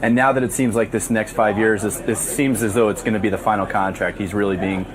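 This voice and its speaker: A man's voice: lowish voice, fairly even intonation, fast. A man working as an interviewer, asking questions casually on the spot. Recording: busy room with chatter.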